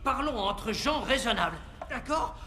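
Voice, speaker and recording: A bright, scolding cartoon companion. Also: female voice, a little high, lively intonation, measured pace, a little hiss or hum. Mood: exasperated. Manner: scolding, insistent. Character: exasperated, sensible female companion scolding a boy. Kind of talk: French animated film dub. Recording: faint music behind the voice.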